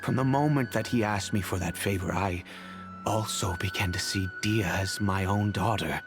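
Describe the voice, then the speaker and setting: An actor playing a fatherly middle-aged merchant who speaks in a measured, reflective, remorseful way, over faint music. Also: fairly low pitch, close to an everyday voice. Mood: thoughtful. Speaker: wealthy patron and father figure. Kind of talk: video game voice acting. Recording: game audio with faint music.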